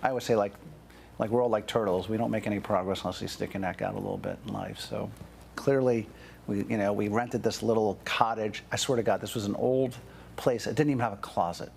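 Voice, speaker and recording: Gravelly chatty man. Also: on the low side, animated, a little fast, a little hiss or hum. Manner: chatty, lots of fillers like "you know". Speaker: interview guest. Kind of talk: casual storytelling.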